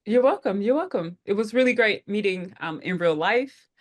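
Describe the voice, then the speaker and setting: A woman's voice: medium pitch, lively intonation, moderate pace. A woman hosting an online group call, welcoming people in an upbeat way with frequent fillers. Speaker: head of operations, meeting host.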